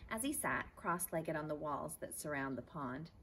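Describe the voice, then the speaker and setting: A woman reads a children's chapter book like a teacher. Her voice is slightly low, with animated, expressive intonation. She is recorded outdoors with faint background noise. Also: normal speed. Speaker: teacher reading aloud. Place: outdoors in a backyard garden. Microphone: camera a few feet away.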